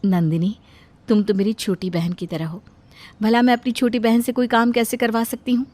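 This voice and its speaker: Lady playing sweet dutiful young cartoon wife, highish voice, polite and earnest. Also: little pitch movement, moderate pace, darkish tone, lightly acted. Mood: affectionate. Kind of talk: Hindi cartoon story voice acting.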